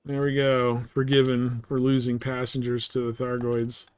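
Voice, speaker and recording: A man streaming a game live, chatting casually and thinking out loud in a rambling way. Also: mid-range voice, monotone, moderate pace, smooth. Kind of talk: casual gameplay chat.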